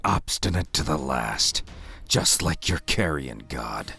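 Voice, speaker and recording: An actor playing a cold, scornful traitor warrior who taunts his captive in a slow, deliberate way. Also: extremely low pitch, animated, creaky, sharp tone, soft background music, exaggerated voice. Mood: menacing. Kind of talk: fan audio drama acting.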